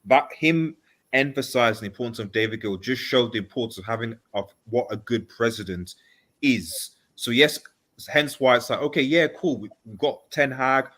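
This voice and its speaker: A man's voice: medium pitch, moderate pace, a bit bright. A man on a casual football panel, explaining his points in a polite, conversational way. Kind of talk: casual sports discussion.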